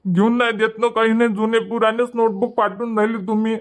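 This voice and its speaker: A man's voice: high-pitched, little pitch movement, close to an everyday voice. A man voicing a stubborn, whining little schoolboy in a cartoon. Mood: sulky. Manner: sulky, demanding, slow whining. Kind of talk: cartoon dubbing.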